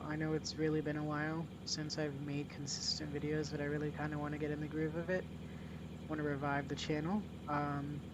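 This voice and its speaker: A man hosting a casual gameplay commentary, talking in a relaxed, hesitant way while getting over a cold with a slight cough. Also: a little high, highs cut off, noisy background. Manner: relaxed, hesitant, many ums and restarts. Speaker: gaming channel host.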